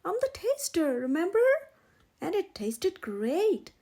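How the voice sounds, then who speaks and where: A woman's voice: very high voice, sing-song, takes it slowly, dark tone, voice pushed up, heavily acted. A woman voicing a greedy, eager potbellied pig in a children's storybook, with a wheedling manner. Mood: defensive, pouty.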